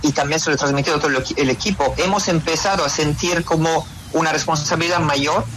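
A man's voice: on the high side, steady tone, fast talker, a bit bright. Italian man, hesitant, noisy line. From Italy. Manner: hesitant, many fillers and restarts. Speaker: interview guest, pizzeria owner. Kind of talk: radio phone interview.

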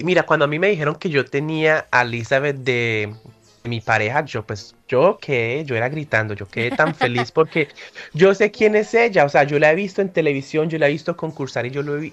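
A Colombian man, a pastry chef, talking excitedly and laughing often as a guest on a phone interview. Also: fairly high pitch, dull audio. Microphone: phone line.

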